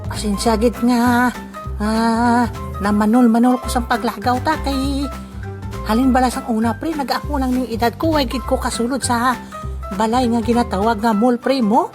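A performer voicing a friendly elderly village man in a comic audio drama, chatting in a halting, repetitive, rambling way. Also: mid-range voice, steady tone, natural voice. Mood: rambling, chatty. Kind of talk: amateur comedy audio drama dialogue. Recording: music playing clearly behind the voice.

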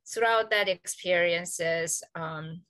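A woman's voice: lowish voice, takes it slowly, fairly bright tone, smooth, no echo. A woman with a South Korean accent, an associate professor, speaking earnestly and reflectively on a panel over a video call, with frequent fillers.

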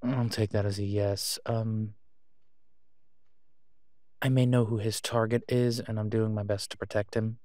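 An awkward, guarded man in an audio drama, played by a male actor, with a lowish voice. He talks slowly and haltingly in a low-key, natural way, recorded close and dry. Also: little pitch movement, dark tone, no room sound, lightly acted. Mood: guarded, reluctant. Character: awkward, guarded man discreetly seeking gear to protect someone. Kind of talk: naturalistic audio roleplay acting.

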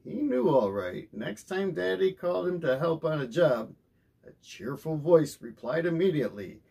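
A middle-aged man narrating a children's bedtime story in an expressive, theatrical way. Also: fairly high pitch, normal speed, creaky, husky. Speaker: storybook reader.

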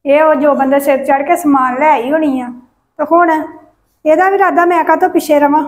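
A woman acting out a young wife who is sweet to faces and bitter behind backs. She has a high voice and shifts between placating and grumbling. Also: steady tone, brisk, natural voice. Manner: politely placating aloud, muttering grudges aside. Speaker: wife of the brother. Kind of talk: village family drama acting.

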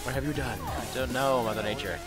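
A young man giving a casual let's-play commentary, crude and rambling, with self-mocking jokes and sudden loud outbursts. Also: mid-range voice, quick pace. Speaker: gaming commentator. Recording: game music loud underneath. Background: electronic dubstep game music.

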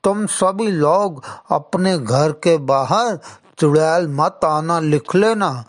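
A man voicing a gentle old village elder in an animated story, measured and fatherly as he advises. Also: a little high. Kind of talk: Hindi animated story acting.

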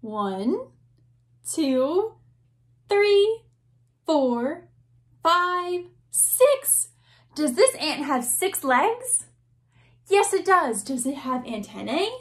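A woman's voice: high-pitched, big pitch swings, extremely slow, long pauses. A young woman teacher in her twenties reading a picture book aloud to children, gasping and asking them questions. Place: small classroom-style room indoors.

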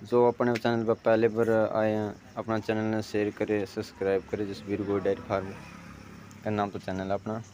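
A man's voice: lowish voice, monotone, moderate pace, lo-fi sound, background noise. A man with a Haryanvi accent presenting a dairy farm video in an informal vlog style.

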